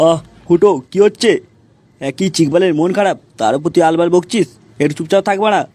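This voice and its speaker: A man's voice: high-pitched, fairly quick, a little mellow, a little hiss or hum, lightly acted. A man voicing a brash, foul-mouthed young friend in a comedy cartoon, slangy and bossy. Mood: scolding. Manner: slangy, scolding.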